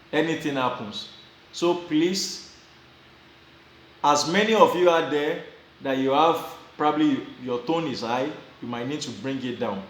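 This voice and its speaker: A man's voice: mid-range voice, slight background noise. Nigerian man in an echoey room. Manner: repeats and restarts phrases, chuckles while telling. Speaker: vlogger giving advice. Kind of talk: casual advice talk to camera.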